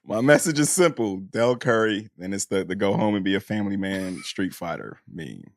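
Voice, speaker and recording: A man's voice: fairly low pitch, expressive, moderate pace, gravelly, dry recording, clean studio sound. An American man co-hosting a podcast, trading casual banter and mock-dramatic reading. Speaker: podcast co-host.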